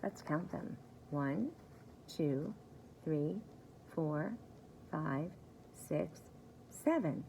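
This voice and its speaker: A woman with a low, creaky voice reads a children's book at a very unhurried pace. She speaks like a preschool teacher at story time, spelling things out simply and repeating key words. Faint noise sits behind her. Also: expressive, warm tone, poor audio. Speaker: pre-K teacher reading aloud.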